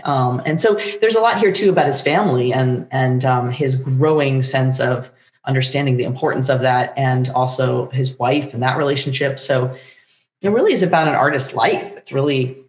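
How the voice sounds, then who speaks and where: A middle-aged woman talks passionately in a conversational interview style. Her voice carries clear room echo, as on a video call from home. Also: extremely low pitch, normal speed. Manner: enthusiastic, passionate. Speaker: guest, playwright and teacher. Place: home room with bookshelves, indoors. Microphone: desk microphone on video call.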